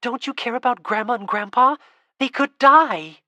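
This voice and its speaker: Woman voicing a stubborn teenage girl, raised pitch. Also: lively intonation, moderate pace, a bit bright, no echo, lightly acted. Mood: distraught. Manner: earnest, pleading, turning defiant.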